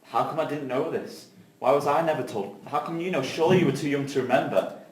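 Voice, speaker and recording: A man's voice: a little high, quick pace, close to an everyday voice. A man in an amateur drama reading, playing a stern, troubled young man with a blunt, reproachful manner. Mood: accusing. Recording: roomy, echoing room.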